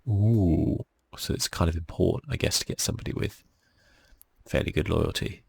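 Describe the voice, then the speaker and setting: A man giving soft-spoken ASMR commentary on a video game in a murmured, laid-back way, as if thinking aloud. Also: low voice, moderate pace, gravelly, husky. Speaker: gaming channel host. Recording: faint music behind.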